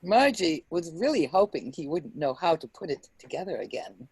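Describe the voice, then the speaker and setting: A woman hosting a reading club, introducing in a friendly, measured way. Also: lowish voice, sing-song, darkish tone, husky, no room sound, poor audio. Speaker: host. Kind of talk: reader's theater introduction.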